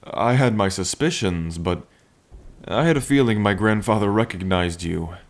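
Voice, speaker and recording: A man's voice: fairly low pitch, moderate pace, lightly acted. A man playing a playful, lovestruck dragon king in an intimate ASMR roleplay, with a languid, teasing and tender manner. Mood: earnest, reflective. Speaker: roleplay voice performer. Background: rain.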